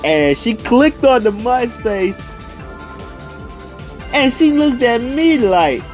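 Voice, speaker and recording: A young man telling a personal story casually, in an animated, slangy way, acting out the conversations as he goes. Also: extremely high pitch, slow pace, over music. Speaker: video storyteller.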